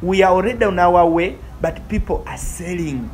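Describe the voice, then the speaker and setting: A woman's voice: lowish voice, expressive, normal speed, darkish tone, slight background noise. A woman with a Ugandan accent narrates a travel vlog casually, repeating phrases for emphasis. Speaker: vlogger. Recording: music under the voice. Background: music.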